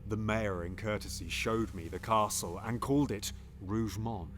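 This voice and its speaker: A man's voice: fairly low pitch, normal speed, fairly bright tone, a little hiss or hum, lightly acted. An actor playing a scheming, paranoid usurping king in a Shakespearean audio drama, swinging between menacing whispers and sudden outbursts.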